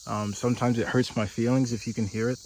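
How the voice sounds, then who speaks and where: A man giving a casual tour of the car he lives in, speaking in a flat, deadpan monotone with dry humor. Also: mid-range voice, fast talker, a little hiss or hum, poor audio. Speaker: vlogger living in his car. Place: inside and around a parked car outdoors.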